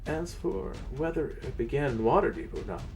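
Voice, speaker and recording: A man hosting and narrating fantasy stories as a game master, theatrical, with weighted pauses. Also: a little high, lively intonation, creaky, a little mellow, soft background music. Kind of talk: dramatic reading and channel presenting.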